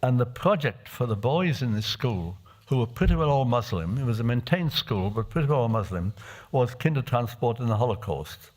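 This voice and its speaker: An older man from Czechoslovakia, a native Czech speaker, giving a reflective speech as a parliamentarian at a desk microphone in an assembly chamber, halting and restarting often. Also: mid-range voice, animated, darkish tone. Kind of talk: personal testimony speech.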